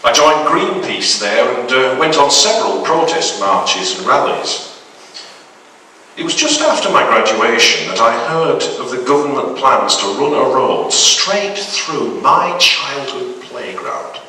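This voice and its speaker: A man's voice: mid-range voice, very animated, measured pace, bright, forceful tone, slight background noise. A man giving a dramatic reading of a macabre short story as a storyteller. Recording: very echoey hall, distant microphone.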